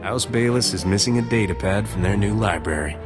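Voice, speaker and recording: A male actor as a flirtatious space smuggler in a video game. He sounds casual and sure of himself in a fairly low voice, over clearly heard music. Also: a little fast, close to an everyday voice. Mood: businesslike. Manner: casual, roguish. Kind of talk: video game cutscene acting.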